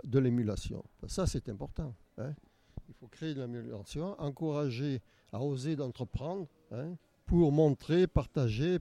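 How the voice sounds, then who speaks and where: An older man from Nice with a deep, gravelly voice, very dark and mellow in tone. He speaks haltingly, circling back and repeating himself, and keeps tagging on a little "hein". The sound is close and dry. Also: no room sound. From Nice, France. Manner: hesitant, frequent repeats and tag "hein". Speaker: exhibition organizer. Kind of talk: casual interview answers.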